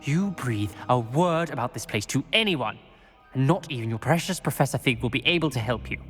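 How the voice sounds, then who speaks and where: A man's voice: medium pitch, animated, a little fast, fairly bright tone, breathy edge. A man voicing a suspicious, haughty teenage wizard schoolboy in a video game, with a clipped, accusatory, imperious manner. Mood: threatening. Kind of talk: dramatic video game dialogue. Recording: long reverb with music behind. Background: music.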